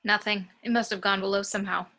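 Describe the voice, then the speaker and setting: A woman's voice: mid-range voice, fairly even intonation, fast, sharp tone. A woman in an amateur table read playing a resolute, practical spaceship warrant officer, firm and insistent. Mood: firm, urgent.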